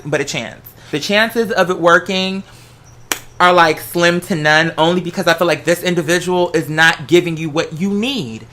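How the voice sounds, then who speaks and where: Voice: medium pitch, pushed and bright. A host giving casual relationship advice in a conversational, sassy way, with slangy, emphatic asides. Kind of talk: casual solo advice talk to listeners.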